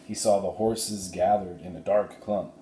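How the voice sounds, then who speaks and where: A man giving an expressive narration of a children's storybook. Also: sits low, a little slow. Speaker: story reader. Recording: roomy echo, faint background noise.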